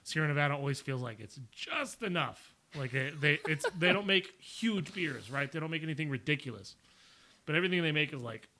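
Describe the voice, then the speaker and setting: A young man with a bright, ringing voice chats loosely while reviewing beer with friends. He laughs often and circles back on his points, hedging as he goes. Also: on the high side, animated, brisk. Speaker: podcast co-host reviewing beer. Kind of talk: casual chat.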